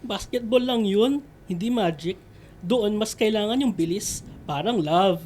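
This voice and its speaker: A woman's voice: mid-range voice, measured pace, darkish tone, slight background noise, close to an everyday voice. A woman playing a popular young student athlete in a radio drama, casual and jokey, grumbling lightly with a Filipino accent. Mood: breezy, joking.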